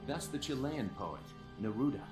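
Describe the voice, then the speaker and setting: A man's voice: mid-range voice, animated, moderate pace, close to an everyday voice. A man playing an earnest, persuasive mercenary second-in-command in a video game, with dramatic, urging delivery. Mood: serious. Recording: echoey, music behind.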